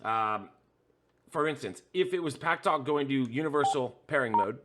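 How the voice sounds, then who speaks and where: A man's voice: medium pitch, lively intonation, moderate pace, heavy background noise. A man hosting a hands-on tutorial, explaining a setup step by step with frequent "uh" fillers while pointing at the devices in front of him. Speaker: host.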